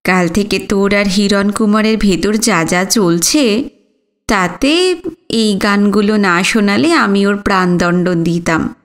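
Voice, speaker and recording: A woman's voice: mid-range voice, slow, voice pushed down, lightly acted. A young elder sister played by a woman reader in an audiobook story. She is playful and teasing, flusters easily, and speaks without hurry. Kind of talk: audiobook character dialogue.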